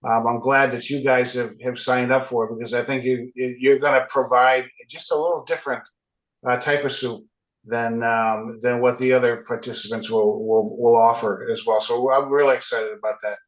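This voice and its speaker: A man co-hosting a community interview, speaking hesitantly with many pauses and restarts. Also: mid-range voice, flat delivery, poor audio. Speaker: co-host.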